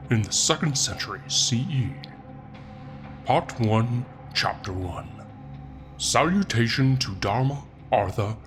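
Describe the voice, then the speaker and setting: Sardonic devil , played by a man, comic audiobook narrator: theatrical, deliberate, swooping delivery, wry asides, faint music underneath. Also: mid-range voice, fairly bright tone. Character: sardonic, foul-mouthed male Satan reading an old book aloud.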